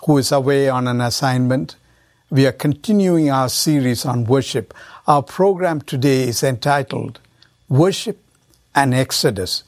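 A man hosts a Bible study radio show, speaking in a measured way at a mid pitch. He sometimes stumbles on repeated words and fillers as he brings in his guest. The sound is dry and close. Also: no room sound. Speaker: radio program host.

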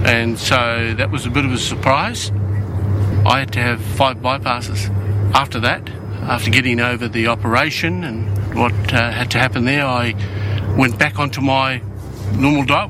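A man's voice: medium pitch, noisy background. A man giving a personal testimonial in a plain storytelling way, with some hesitations. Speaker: testimonial speaker in a promo. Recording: clear music bed behind the voice.

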